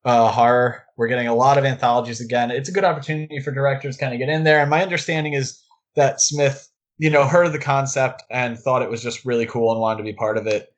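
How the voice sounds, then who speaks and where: A man's voice: mid-range voice, fairly even intonation, fast talker, lo-fi sound. Man co-hosting a casual film podcast. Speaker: podcast co-host.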